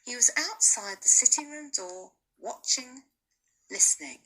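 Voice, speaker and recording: A woman teacher reads a children's novel aloud with expressive storytelling. Her pitch rises and falls a lot, and she reads slowly. Her tone is bright with a slightly husky edge. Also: rough recording.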